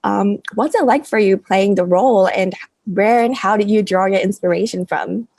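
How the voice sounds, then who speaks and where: A woman's voice: mid-range voice, normal speed, darkish tone, dry recording. Brief: friendly Singaporean-accented woman interviewer, dry close sound. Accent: Singaporean English. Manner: friendly, upbeat, laughs often. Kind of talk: casual video-call interview.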